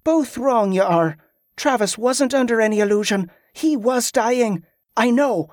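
Performer voicing Molly, a high-pitched, fervent farm girl. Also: animated, a little slow, no room sound, clean studio sound, lightly acted. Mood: defiant. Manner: inverted rustic phrasing, fervent. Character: Molly, defiant young farm girl, earnest believer.

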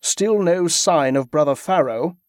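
A man voicing a worried older male abbot who speaks in a measured, thoughtful way. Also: fairly high pitch, dry recording, clean studio sound, lightly acted.

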